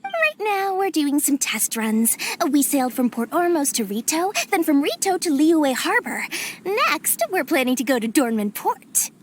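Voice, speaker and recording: Shrewd little merchant, high and lively. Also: female voice, unhurried, a little hiss or hum. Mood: proud, businesslike. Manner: slick, salesperson-like, flattering. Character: small, shrewd, wheedling female merchant. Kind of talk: video game cutscene acting.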